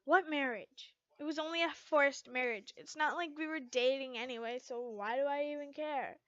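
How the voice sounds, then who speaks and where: A woman's voice: sits high, moderate pace, fairly bright tone, no room sound, dull audio. A woman voicing a flustered, stubborn teenage heroine in a story, hesitant and defensive.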